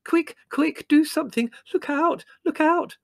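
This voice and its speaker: A man's voice: very high voice, unhurried, gravelly, pitched above the performer's own voice, heavily acted. A man voicing a panicky, easily terrified young woman who screams in frantic repetitions for a storybook.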